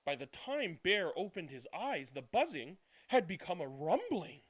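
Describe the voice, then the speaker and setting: A male storyteller with a slightly high voice reads a picture book aloud. His delivery swings widely in pitch and leans dramatic, stretching some words and hushing others. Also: very animated, measured pace. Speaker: read-aloud storyteller and channel host. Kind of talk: expressive children's picture book read-aloud, then casual chat.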